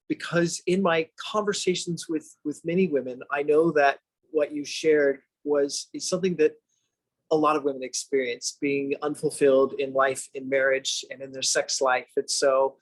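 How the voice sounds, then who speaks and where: Male podcast host, eager and chatty, slightly high voice, stammers. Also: poor audio. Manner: enthusiastic, stammering restarts. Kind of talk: casual interview.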